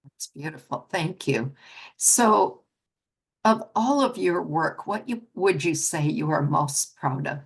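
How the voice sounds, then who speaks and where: A woman's voice: sits low, expressive, slow, gravelly, husky. A woman interviewer who asks short questions and offers brief, encouraging affirmations.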